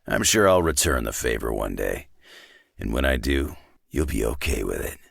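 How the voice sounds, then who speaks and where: A man voicing a gruff, cynical, battle-worn mutant brawler in a video game, with a gravelly, husky growl and a terse, dry tough-guy drawl. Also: extremely low pitch, very animated, normal speed, fairly bright tone, no echo, full character voice. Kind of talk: video game character acting.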